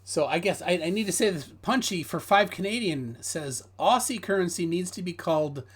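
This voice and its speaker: A man's voice: high voice, lively intonation, normal speed, husky. A man hosting a live stream, telling meandering stories full of fillers and reading viewer messages aloud. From United States. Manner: rambling storyteller, hesitant with many fillers, reads viewer messages. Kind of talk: casual chat.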